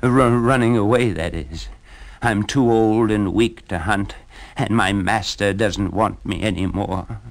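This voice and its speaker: A man voicing a worn-out old hound who talks in a halting, breathless way. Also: on the low side, gravelly. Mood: weary. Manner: panting, halting.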